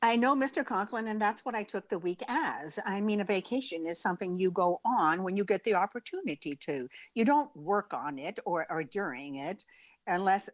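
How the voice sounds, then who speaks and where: An actress playing a dry, wisecracking schoolteacher in an old-time radio comedy, with a deadpan manner. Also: female voice, mid-range voice, moderate pace, no room sound, lightly acted. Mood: defensive. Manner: deadpan, wry.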